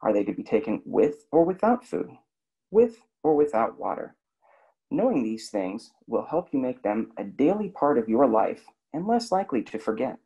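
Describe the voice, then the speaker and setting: Gravelly man narrating a health slideshow. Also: medium pitch, moderate pace, highs cut off. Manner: steady explanatory reading with questions to the listener. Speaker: clinic health educator.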